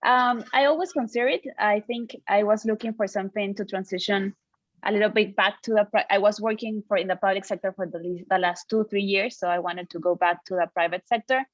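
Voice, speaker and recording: A woman's voice: mid-range voice, brisk, no echo. Young woman on a dull video call. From Peru. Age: young adult. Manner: friendly, with hesitations and restarts. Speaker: alumni panelist. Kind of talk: casual self-introduction. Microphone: computer mic on a video call. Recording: dull dry call audio.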